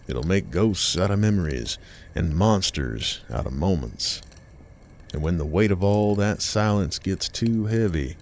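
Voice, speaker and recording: An American man narrating a scripted horror tale in a deliberate, ominous, folksy campfire storytelling manner. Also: low voice, darkish tone. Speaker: storyteller host of a horror series. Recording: music bed behind the voice. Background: music.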